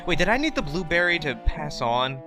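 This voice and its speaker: A man's voice: on the high side, very animated, fairly quick. A young man casually commenting on a video game in a rambling, joking, self-mocking way, over game music. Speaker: let's play host.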